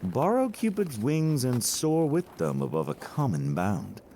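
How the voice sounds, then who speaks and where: A man acting a playful young gallant whose voice swings dramatically at an unhurried, very slow pace. He is witty, bawdy and theatrical in Shakespearean verse, with faint noise behind. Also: medium pitch, sing-song, dark tone. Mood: teasing, playful. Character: flamboyant, teasing young gentleman, witty and bawdy friend. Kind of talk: Shakespearean audio play acting.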